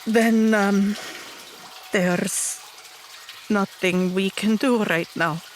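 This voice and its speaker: A woman's voice: mid-range voice, fairly even intonation, extremely slow, a little hiss or hum. A woman voicing a weary, grieving, guarded female adventurer in a tabletop fantasy game. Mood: worried, resigned.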